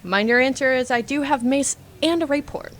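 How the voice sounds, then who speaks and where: A woman's voice: high voice, moderate pace, a little hiss or hum, lightly acted. A woman playing a suspicious, blunt young female client of a space crew in a comedic audio drama. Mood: wary. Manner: blunt, matter-of-fact.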